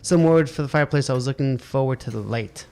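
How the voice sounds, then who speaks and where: A man's voice: mid-range voice, quick pace, darkish tone. A man playing a video game, muttering, swearing at it and reading its text aloud. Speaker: let's play gamer. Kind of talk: casual let's play commentary, reading game text aloud.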